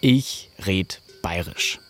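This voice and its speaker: A man's voice: low voice, drawn-out words, fairly bright tone, soft background music. A man narrating a scripted podcast as host, in a casual, personal storytelling manner.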